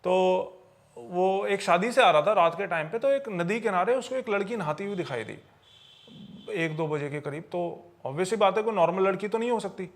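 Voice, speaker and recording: A man with a notably high-pitched voice tells eerie supernatural stories as a podcast storyteller. He speaks conversationally, circling back to repeat and reword phrases for emphasis. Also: animated, moderate pace. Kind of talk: conversational storytelling of paranormal tales.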